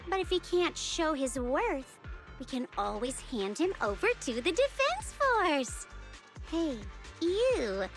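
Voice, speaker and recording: A woman's voice: sits high, fairly bright tone, exaggerated voice. A woman voicing a cocky, wry young woman fighter in an anime game, with a teasing, persuasive manner. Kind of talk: dubbed anime game voice acting.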